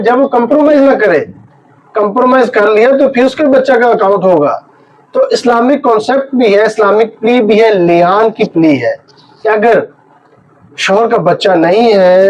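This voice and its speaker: High-pitched Pakistani man lecturing on law. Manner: explanatory, emphatic repetition of key points. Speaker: advocate explaining law. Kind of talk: informal legal lecture. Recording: dull, lo-fi muffled audio.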